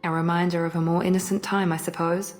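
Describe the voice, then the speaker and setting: A woman's voice: on the low side, level tone, fast, faint music behind, close to an everyday voice. A woman playing a guarded, composed female operative in a science fiction video game, reserved in manner. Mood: reserved, wistful. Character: cool, guarded human female operative, quietly grateful.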